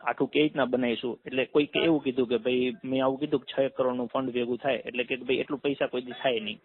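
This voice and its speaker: A man's voice: medium pitch, steady tone, fast. A man with a Saurashtra Gujarati accent explaining things step by step in an insistent way, on a recorded phone call. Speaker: community trust trustee. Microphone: telephone.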